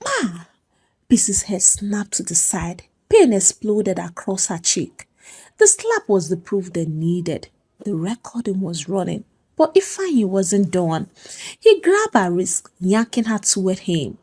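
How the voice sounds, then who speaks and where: A woman's voice: mid-range voice, very animated, unhurried, mellow, husky. A woman telling a story as a dramatic scripted narration.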